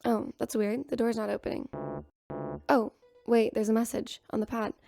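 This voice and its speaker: A woman voicing an excitable ship's doctor who talks in a confiding, eager way in a radio drama. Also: fairly high pitch, normal speed, gravelly, soft background music. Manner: breathless, confiding. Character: excitable female ship doctor and scientist.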